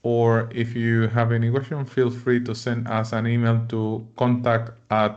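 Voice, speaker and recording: A man's voice: medium pitch, monotone, unhurried, darkish tone. Man from Chile hosting a webinar, lo-fi. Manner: friendly, non-native phrasing with frequent fillers. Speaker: webinar host. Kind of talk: live webinar presentation.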